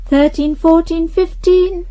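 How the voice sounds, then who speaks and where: A woman's voice: very high voice, steady tone, slow pace, dark tone. A woman demonstrating a children's counting rhyme in a chant for an English lesson.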